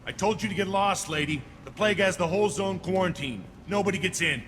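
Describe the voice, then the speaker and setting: A man's voice: sits high, fairly quick, a bit bright, a little hiss or hum. An actor playing a surly male checkpoint guard who brushes people off. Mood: dismissive. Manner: gruff, dismissive.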